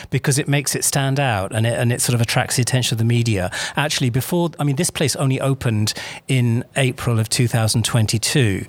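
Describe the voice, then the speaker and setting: A middle-aged man speaking outdoors at a coastal site, talking through the place he runs in a steady, explanatory way. He stops and restarts often, leaning on ums and uhs, and plays down his own importance. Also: mid-range voice. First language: English. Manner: explanatory, self-deprecating, frequent fillers and restarts. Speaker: director of a disaster memorial centre. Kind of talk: on-location interview.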